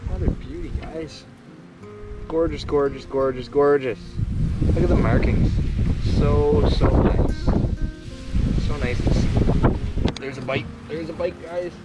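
A man, hosting a fishing vlog from a canoe and talking excitedly to viewers. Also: a little high, unhurried, long pauses, poor audio. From Canada. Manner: excited, talks to camera viewers. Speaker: fishing show host. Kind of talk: casual outdoor vlog chat. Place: canoe on a river outdoors. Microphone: action camera on the canoe. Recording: music under the voice.

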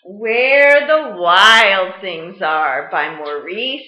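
A woman in her thirties hosting a children's storytime, with an expressive, sing-song and deliberate teaching delivery, recorded indoors on a webcam mic. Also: mid-range voice. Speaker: children's librarian storytime host. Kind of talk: children's storytime read-aloud. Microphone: webcam or laptop mic at arm's length. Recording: roomy, slightly dull webcam sound.

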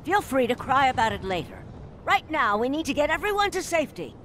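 A woman playing a brisk, no-nonsense older woman giving brusque orders in a video game. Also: high voice, sing-song, fairly bright tone, slight background noise. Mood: brisk, unflustered. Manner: brusque, bossy.

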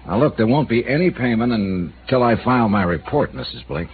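A man's voice: medium pitch, lively intonation, a little fast, lightly acted. An actor playing a dry, persistent male insurance investigator in a 1950s radio drama, asking pointed questions with a wisecracking edge. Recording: tinny old radio broadcast with hiss.

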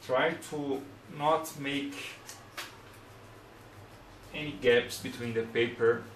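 Slow-talking Brazilian man demonstrating at a desk. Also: medium pitch, fairly even intonation, a bit bright, echoey room, slight background noise, low-quality recording. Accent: Brazilian Portuguese. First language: Portuguese. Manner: unhurried, plain, searching for words. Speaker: hobbyist demonstrator. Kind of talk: casual how-to demonstration. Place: small room at a desk.

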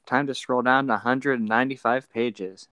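A man's voice: medium pitch, steady tone, normal speed, bright, forceful tone, dry recording. A man talking casually with friends and reading a novel aloud. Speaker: co-host reading a book aloud for commentary. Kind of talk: casual chat and read-aloud.

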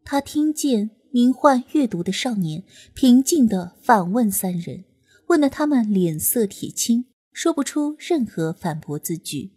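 A woman reading an audiobook in expressive storytelling narration. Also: a little high, a little slow, breathy edge. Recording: narration over soft music.

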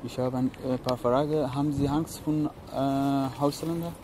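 Young Afghan man, street interviewer, halting careful German, handheld mic, clear street noise. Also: mid-range voice, fairly even intonation. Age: young adult. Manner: hesitant learner's German with fillers. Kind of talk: vox pop interview. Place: town square outdoors.

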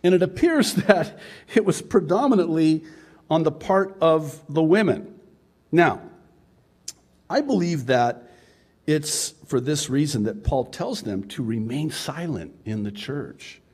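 A man's voice: on the high side, lively intonation, slow, darkish tone, breathy edge. Chuckling, conversational male pastor. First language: Arabic. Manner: conversational, chuckling asides, frequent "uh" fillers. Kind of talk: sermon.

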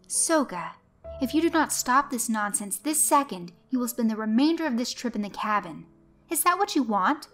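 A woman's voice: fairly high pitch, very animated, moderate pace, fairly bright tone, faint music behind, pitched above the performer's own voice. A woman voicing a strict middle-aged mother who scolds her daughter sharply and raises her voice. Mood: stern.

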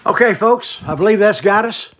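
High-pitched man giving a casual demonstration. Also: normal speed, a bit bright. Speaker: online seller demonstrating vintage audio gear.

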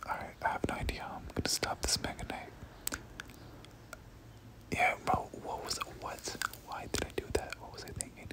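A gaming creator giving ASMR game commentary in a breathy whisper, often repeating words in soft rhythmic runs. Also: normal speed, long pauses.

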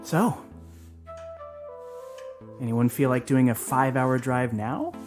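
A woman's voice: extremely low pitch, expressive, slow, darkish tone, soft background music, exaggerated voice. A woman playing a level-headed, practical podcast crew leader in naturalistic audio drama dialogue. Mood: organizing.

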